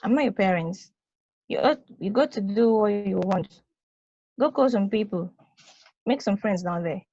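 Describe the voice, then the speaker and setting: A woman's voice: medium pitch, lively intonation, a little slow, warm tone, no echo, highs cut off. A woman playing a teasing, protective big-sister space probe in a casual, blunt, conversational table read. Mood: encouraging.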